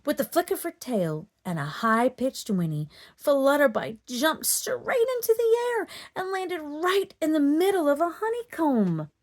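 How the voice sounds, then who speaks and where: Animated woman reading a children's story. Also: sits high, a little slow, hardly any pauses. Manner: dramatic, animated delivery for children. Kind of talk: expressive children's read-aloud narration.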